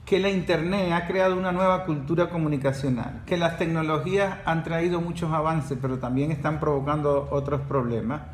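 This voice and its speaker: A man hosting a podcast interview, explaining ideas in measured, drawn-out phrases. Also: fairly high pitch, fairly even intonation, a little hiss or hum. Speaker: podcast host. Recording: roomy echo.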